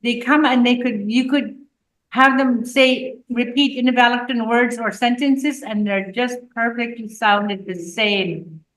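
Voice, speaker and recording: A woman's voice: on the high side, fairly even intonation, measured pace, a bit bright, echoey room, rough recording. A woman teacher in a classroom, hosting a video call and prompting her pupils to speak. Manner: prompting children, conversational.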